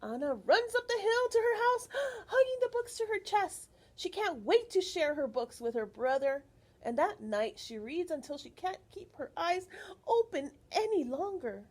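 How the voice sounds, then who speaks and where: High-pitched, very animated woman reading to children. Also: normal speed. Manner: expressive, addresses children with questions and explanations. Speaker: storyteller reading aloud to children. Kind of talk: animated picture-book read-aloud with teacherly asides.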